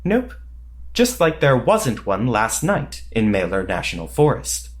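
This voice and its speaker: A man playing a dry, sardonic veteran secret agent in an audio drama, terse, pedantic and deadpan. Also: medium pitch, measured pace. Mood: cool, matter-of-fact.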